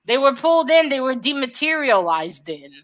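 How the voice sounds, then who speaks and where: A woman's voice: sits high, sing-song, moderate pace, a bit bright. A woman in a casual book discussion, retelling the story emphatically and jumping in as co-host.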